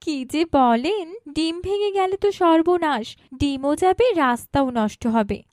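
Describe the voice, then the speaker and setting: A woman as a high-pitched polite village cartoon woman. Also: animated, a little slow, a little mellow, dry recording, clean studio sound. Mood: polite, earnest. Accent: Bangladeshi. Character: village mother and her polite, earnest grown daughter. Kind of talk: cartoon moral story dialogue.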